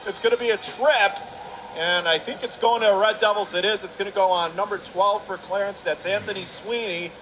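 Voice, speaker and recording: A man calling live radio play-by-play of an ice hockey game from the arena. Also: high voice. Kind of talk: live radio sports commentary. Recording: clear arena noise behind the voice.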